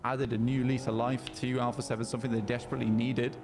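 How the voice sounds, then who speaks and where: A man's voice: mid-range voice, level tone, quick pace, darkish tone, soft background music. A man giving analytical color commentary on a live esports match, explaining team form and map positions.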